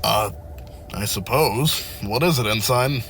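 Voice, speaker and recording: A man playing a gruff, commanding starship captain in an audio drama. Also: mid-range voice, slow, a bit bright, a little hiss or hum. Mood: weary. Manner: commanding, menacing.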